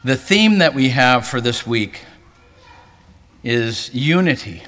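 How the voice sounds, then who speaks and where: Male pastor, earnest sermon delivery, bright and forceful, reverberant convention hall. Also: lively intonation, slow. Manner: earnest, rising pitch for emphasis. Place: large hall, preaching to a convention.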